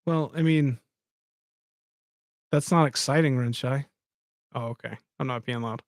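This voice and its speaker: A man's voice: mid-range voice, a little slow, no echo, clean studio sound. A man hosting a live stream, chatting casually and rambling as he talks to his viewers. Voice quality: says he has a soft voice. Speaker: podcast host.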